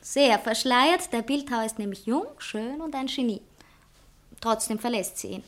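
High-voiced, impulsive society authoress. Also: female voice, animated, moderate pace, fairly bright tone. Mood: coquettish. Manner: theatrical, coquettish, indignant. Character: proud, impulsive society woman writer with a secret lover. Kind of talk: satirical radio play dialogue.

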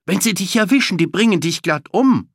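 Children's audiobook: frightened young girl, played by a man, high-pitched, loud, urgent warnings. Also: animated, a little fast, vocal fry, husky, no room sound, studio quality, heavily acted. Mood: alarmed. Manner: urgent, shrill shouting.

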